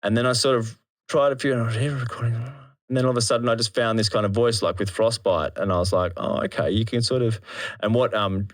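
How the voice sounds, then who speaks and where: An Australian man chatting casually as an interview guest, retelling things in an animated, slangy, sweary way and acting out his thoughts. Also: mid-range voice, fast. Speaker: interview guest, drum and bass MC. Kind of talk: casual anecdotal chat.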